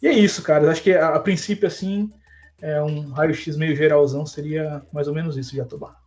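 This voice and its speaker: A man's voice: on the high side, fairly even intonation, brisk, darkish tone, faint music behind. A man with a Brazilian Portuguese accent co-hosting a podcast, chatting casually with lots of slang and fillers. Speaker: podcast co-host.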